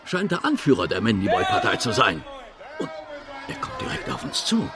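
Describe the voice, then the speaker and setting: An actor playing a scheming detective who speaks with fawning, ingratiating politeness. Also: male voice, medium pitch, huge pitch swings, normal speed, over a noisy crowd. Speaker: Detective Fix.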